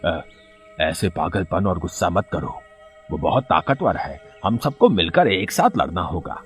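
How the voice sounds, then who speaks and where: A man's voice: low-pitched, very animated, a little slow, soft background music. Man as an old holy man, solemn, grave, cautioning, varied intonation, cartoon. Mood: grave warning. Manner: solemn, warning.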